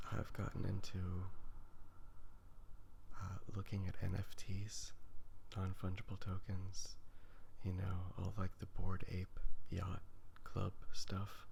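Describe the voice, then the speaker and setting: A man talks in a hushed, breathy near-whisper that sits low and breaks into heavy vocal fry. His delivery is flat and halting, a casual, self-deprecating ASMR ramble. There is faint noise behind him. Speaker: artist hosting a drawing ASMR video. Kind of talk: soft-spoken ASMR, casual rambling while drawing. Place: sitting on the ground while drawing. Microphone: phone recording. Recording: faint background noise with clicking.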